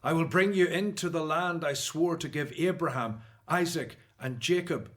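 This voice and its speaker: A devout Hebrew prophet leading his people in a Bible radio drama. The voice is slightly high for a man. His delivery is solemn, earnest and declamatory. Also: moderate pace, a bit bright. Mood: solemn, pleading.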